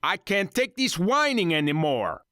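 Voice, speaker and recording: A man shouting as a brutal kidnapper boss. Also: high-pitched, big pitch swings, measured pace, clean tone, no room sound, clean studio sound, pitched above the performer's own voice, heavily acted. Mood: angry shouting. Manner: shouting, menacing threats. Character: brutal middle-aged male kidnapper ringleader, short-tempered.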